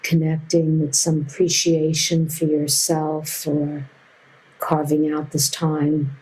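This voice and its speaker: A woman teaching a guided meditation in a gentle, unhurried way with long pauses. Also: sits low, completely flat delivery, low-quality recording.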